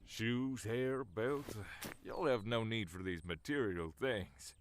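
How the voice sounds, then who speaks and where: An actor playing a sly, folksy old thief in an audio drama, with a drawl. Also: male voice, lowish voice, slow, slight background noise. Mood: cajoling. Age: older.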